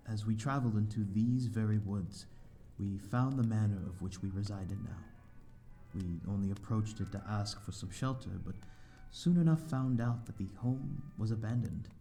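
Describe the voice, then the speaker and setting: A man acts out a lonely gentleman vampire for an ASMR audio story. His voice is low and very dark and velvety. He speaks with old-fashioned courtesy and a halting formality, with music playing behind him. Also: close to an everyday voice. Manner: formal, old-fashioned, genteel, hesitant phrasing. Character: lonely, courteous male vampire living in an abandoned manor. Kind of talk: ASMR audio roleplay, addressing the listener.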